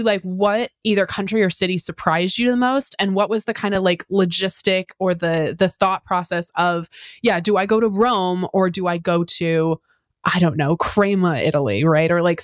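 A woman's voice: lowish voice, no room sound, studio quality. A woman hosting a podcast interview who asks long, rambling questions and reacts with effusive enthusiasm. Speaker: podcast host.

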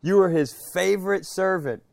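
A man's voice: sits high, normal speed, creaky, no echo, clean studio sound. A man co-hosting a comedy podcast, telling stories casually and riffing in a conversational way. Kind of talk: casual comic storytelling.

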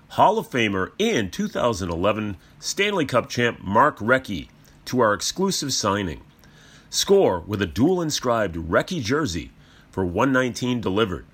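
A man reading a brisk, scripted sales pitch for a commercial. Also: a bit bright. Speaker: advertiser. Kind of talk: read commercial.

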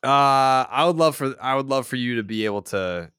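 A man's voice: mid-range voice, moderate pace, fairly bright tone, smooth, no echo, clean studio sound. A man in his thirties co-hosting a casual sports podcast, loose and joking, swearing freely. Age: 30s. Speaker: podcast co-host.

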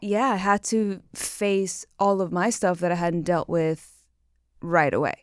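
A woman's voice: on the low side, measured pace, clean tone, dry recording, clean studio sound. A frank, chatty woman with a Cuban accent, a tantra teacher telling her story as a podcast guest. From Cuba. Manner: frank, chatty, self-deprecating. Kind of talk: candid interview storytelling.

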